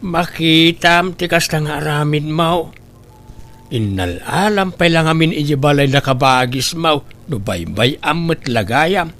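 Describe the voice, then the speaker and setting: High-voiced scolding Ilocano man. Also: animated, unhurried, a bit bright. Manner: scolding, reproachful. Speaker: drama voice actor, husband. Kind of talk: Ilocano radio-style comedy drama acting.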